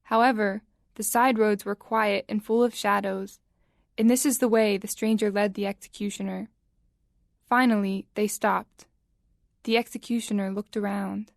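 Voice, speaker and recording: A woman narrating a scripted story for audio drama in a measured, suspenseful storytelling manner. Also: mid-range voice, fairly even intonation, creaky, dry recording, studio quality.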